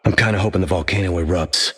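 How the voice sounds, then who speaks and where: A battle-worn soldier with a metal arm, trading sardonic one-liners. His voice sits very low. The game audio carries a long reverb. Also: quick pace, a bit bright. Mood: dry. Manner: dry, sardonic quips. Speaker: video game hero.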